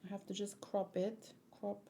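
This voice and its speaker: A woman hosting a livestream read-along, chatting casually in an excitable, playful, expressive way. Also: mid-range voice, normal speed, creaky, slight background noise. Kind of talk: casual livestream chat mixed with animated read-aloud.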